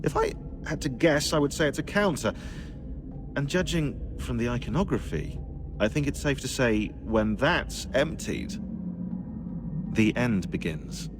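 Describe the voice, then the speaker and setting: An actor playing a sarcastic researcher in a video game, with a dry, wry way of talking. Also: male voice, medium pitch, lively intonation, a little slow, long pauses, lightly acted. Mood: ominous. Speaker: researcher on the team. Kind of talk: video game cutscene dialogue. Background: music.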